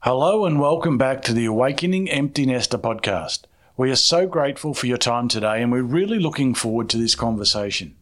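A male podcast host giving a steady, earnest, welcoming scripted introduction and then chatting with his guest. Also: mid-range voice, brisk, few pauses.

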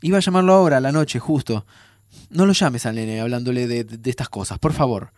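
An actor with an Argentine accent playing an anxious, guilt-ridden young time traveler, agitated and hesitant, in naturalistic audio drama. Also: male voice, mid-range voice, a little fast, darkish tone, dry recording, studio quality, close to an everyday voice. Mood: apologetic, evasive.

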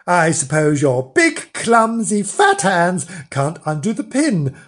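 Man putting on a bossy, high-pitched scolding wife. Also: very animated, breathy edge. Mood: annoyed. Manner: nagging, exasperated, brisk commands. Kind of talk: children's storybook character voice.

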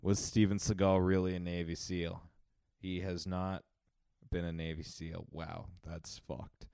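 A young man with a smooth, resonant, somewhat low voice chats about movies on a podcast. He is opinionated and jokey, often laughing. The sound is dry and close. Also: flat delivery, unhurried, mellow, no echo. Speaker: podcast co-host. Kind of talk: casual movie-review chat.

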